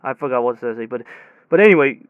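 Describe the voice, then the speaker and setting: A man playing a technician in an audio drama, recounting his workday in a halting, rambling, repetitive way, often interrupting himself. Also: medium pitch, animated, clear, resonant tone, dry recording, narrow, tinny audio, close to an everyday voice. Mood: awed, searching. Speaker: air conditioner installation technician. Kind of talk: conversational audio drama monologue.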